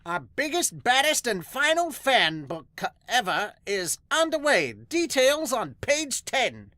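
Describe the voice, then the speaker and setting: A podcaster acts out a grand, theatrical announcer for a manga dub, pitched high and emphatic. The delivery is bold and showy, with animated swings, in a dry recording. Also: no room sound, heavily acted. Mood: grand, excited. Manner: grandiose, emphatic, drawn-out words.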